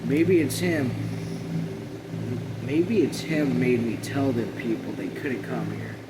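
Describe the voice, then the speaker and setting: A man voicing a weary, gently firm country mother in plain folksy dialect while reading a novel aloud. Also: mid-range voice, slow pace, heavy background noise, lightly acted. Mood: troubled. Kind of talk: novel read aloud in character.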